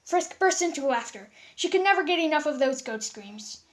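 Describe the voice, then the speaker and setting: A woman reading a fan fiction story aloud in an animated storytelling style. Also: high-pitched, normal speed.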